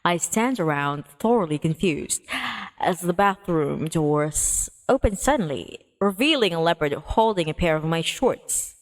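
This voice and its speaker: A woman's voice: on the low side, lively intonation, unhurried, rough recording. A woman streamer narrating a visual novel aloud in a dramatic read-aloud style. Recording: music playing behind the voice. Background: music.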